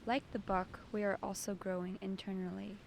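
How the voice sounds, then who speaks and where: A woman leading a guided meditation as its host, giving soothing, deliberate instructions in short phrases. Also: mid-range voice, level tone, gravelly, noisy background.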